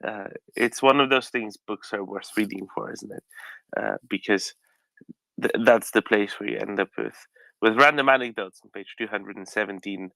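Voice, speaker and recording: A man's voice: lowish voice, normal speed, vocal fry, pushed and bright, dry recording, rough recording. A man hosting an online audio room, musing aloud with frequent hesitations and fillers.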